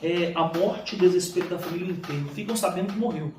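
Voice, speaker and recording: High-voiced Brazilian male teacher, echoey room. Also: quick pace. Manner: emphatic, didactic, asks and answers own questions; informal, slangy. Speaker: literature teacher. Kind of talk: live lesson, walking through exam questions. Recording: echoey room, distant mic, faint noise.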